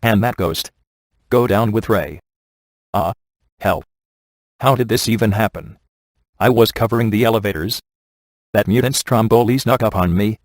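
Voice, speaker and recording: A man's voice: lowish voice, moderate pace, long pauses, no echo, close to an everyday voice. A man playing a wisecracking, laid-back ghost hunter in a video game, with a dry, sardonic and glib delivery. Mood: dry sarcasm. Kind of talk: scripted video game character dialogue.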